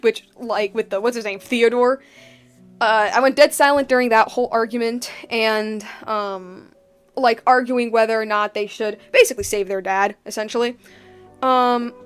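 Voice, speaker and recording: A woman with a fairly high voice talks casually as a game streamer, with faint music behind her. She rambles through unscripted commentary, leaning hard on key words and repeating phrases for emphasis.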